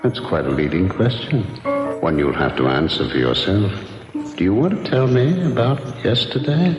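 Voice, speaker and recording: An actor playing an ominous, mysterious man who probes and offers second chances in a deliberate, portentous radio drama style. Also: lowish voice, very animated. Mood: ominous, probing. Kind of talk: 1970s radio drama acting. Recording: long hall reverb, music underneath.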